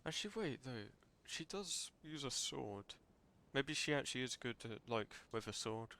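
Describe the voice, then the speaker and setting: A man's voice: medium pitch, animated, moderate pace, sharp tone. A man giving casual game-stream commentary, musing aloud as he plays.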